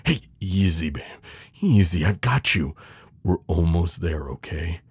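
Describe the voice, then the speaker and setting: A man playing a devoted, protective knight in an intimate fantasy romance audio roleplay, urgent and pleading with hushed reassurance. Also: low voice, expressive, unhurried, breathy edge, no echo, heavily acted. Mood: tender, worried. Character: devoted protective male knight, desperate, tender lover.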